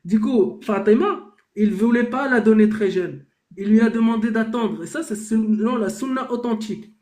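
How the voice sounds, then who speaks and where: A man's voice: high-pitched, moderate pace, a little mellow, reverberant. A man hosting a heated live debate, arguing his point with rhetorical questions that he answers himself. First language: Arabic. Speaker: debate host.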